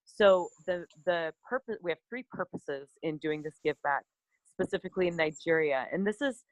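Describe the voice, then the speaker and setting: A woman's voice: fairly low pitch, moderate pace, dry recording, poor audio. A woman who directs a charity foundation, presenting on a conference call in an earnest way, with many fillers and restarts.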